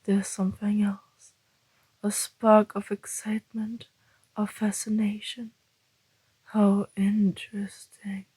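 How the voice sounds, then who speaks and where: Woman voicing a breathy, slow-talking, teasing male vampire. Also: medium pitch, completely flat delivery, dark tone, no room sound, poor audio. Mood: teasing, intrigued. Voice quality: breathy, half-whispered. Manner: slow, deliberate, flirtatious teasing. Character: suave, teasing male vampire, predatory yet intrigued. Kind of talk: ASMR roleplay monologue.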